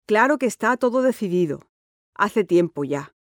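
A woman's voice: mid-range voice, lively intonation, normal speed, dry recording, studio quality, lightly acted. A woman voicing an eager teenage schoolgirl. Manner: eager, chatty and confident. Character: cheerful sporty sixteen-year-old schoolgirl. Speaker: interviewee in an exam listening dialogue. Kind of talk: scripted dialogue for a listening test.

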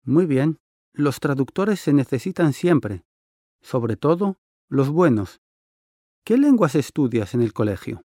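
A man's voice: medium pitch, very animated, takes it slowly, mellow, no room sound, clean studio sound. A man playing a friendly interviewer in a scripted listening-test dialogue, asking polite, curious questions. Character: friendly adult male interviewer.

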